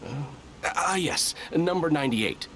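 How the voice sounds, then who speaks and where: A man's voice: a little high, expressive, measured pace, a bit bright, slight background noise. A man voicing an earnest, by-the-book soldier in a video game, formal, precise and officious. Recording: music behind the voice.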